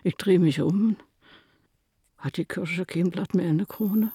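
A woman's voice: on the low side, unhurried, dark tone, slightly rough, no echo, exaggerated voice. An actress playing an earthy, droll village woman telling a story vividly in a radio play. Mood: vivid, wry.

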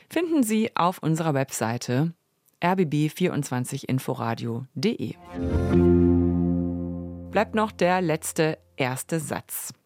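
A woman's voice: sits low, darkish tone. A woman presenting a radio book programme and interviewing a guest. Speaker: radio host.

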